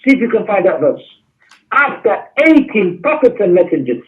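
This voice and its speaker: A man's voice: high-pitched, very animated, normal speed. A man teaching an Islamic lesson in an emphatic, exhortatory manner, mixing explanation with recitation, on a muffled recording. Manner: emphatic, exhortatory, with rhetorical questions. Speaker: religious teacher. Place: mosque class.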